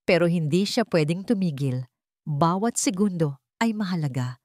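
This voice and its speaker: A woman's voice: lowish voice, expressive, normal speed, darkish tone, no echo, studio quality. A woman narrating a scripted romance drama in a theatrical, suspenseful way. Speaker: story narrator.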